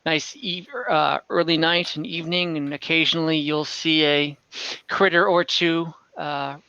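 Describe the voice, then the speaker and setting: A man's voice: a little high, little pitch movement, unhurried, sharp tone, clear, resonant tone, no room sound, highs cut off. A man improvising narration as game master of a tabletop fantasy game over an online call.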